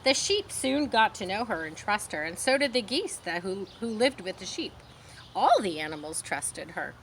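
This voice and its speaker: A woman reading a children's story aloud, pausing to explain things simply as if to young listeners. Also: mid-range voice, sing-song, a little hiss or hum, poor audio. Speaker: storybook reader.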